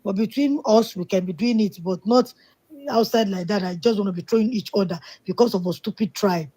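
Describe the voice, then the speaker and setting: A woman's voice: medium pitch, a little fast, dark tone. A woman on a live call-in discussion, speaking indignantly and emphatically. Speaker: call-in participant.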